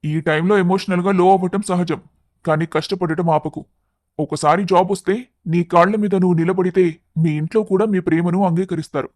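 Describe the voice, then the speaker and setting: A man voicing a level-headed, encouraging young best friend who gives motivating advice in an animated moral story. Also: sits high, moderate pace, no room sound, close to an everyday voice. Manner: advising, motivational.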